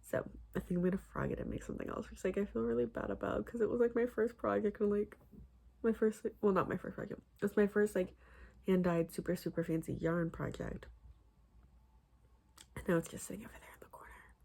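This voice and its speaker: A young woman chatting casually about her crochet projects, rambling with many fillers and restarts, her voice a little husky. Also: mid-range voice, fairly even intonation, brisk, darkish tone. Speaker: crochet vlogger. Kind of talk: casual chat.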